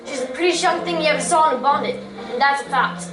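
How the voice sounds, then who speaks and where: A woman's voice: sits high, quick pace, close to an everyday voice. A woman playing a chatty working-class London servant in a staged radio drama, with gossipy Cockney-style talk. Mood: chatty, friendly. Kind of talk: old-time radio drama reading on stage. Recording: echoey stage recording from far off.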